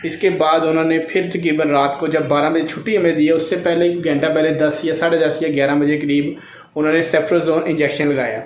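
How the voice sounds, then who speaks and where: A man's voice: fairly high pitch, level tone, fairly quick. A man, likely in his thirties, talking casually to camera as a family vlogger, explaining things and repeating his advice. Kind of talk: casual vlog talk to camera. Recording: echoey room sound, clear background noise.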